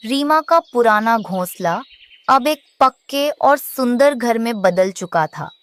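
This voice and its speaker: Slow, higher-pitched woman narrating a story. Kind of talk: scripted children's story narration.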